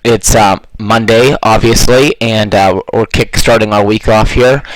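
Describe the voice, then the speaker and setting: A man with a slightly hoarse voice, walking through trading charts in a loose, casual way with lots of fillers. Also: lowish voice, fairly even intonation, unhurried, no room sound, low-quality recording. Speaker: forex trading analyst.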